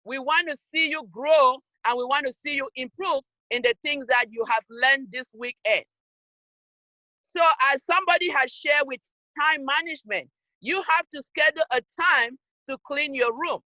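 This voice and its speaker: Bright, emphatic woman. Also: high-pitched, normal speed, no room sound, low-quality recording. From Cameroon. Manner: emphatic, scolding, direct to listeners. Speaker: youth program speaker. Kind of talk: motivational talk to young people. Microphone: video call.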